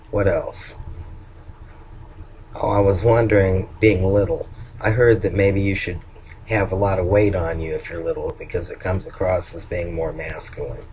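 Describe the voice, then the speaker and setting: An older man talking in a very deep voice that turns a little nasal at times and sounds hoarse. He speaks haltingly, with many ums, pauses and restarts. A faint room ambience sits behind him. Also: darkish tone, slight background noise, low-quality recording. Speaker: vlogger. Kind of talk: casual personal video update.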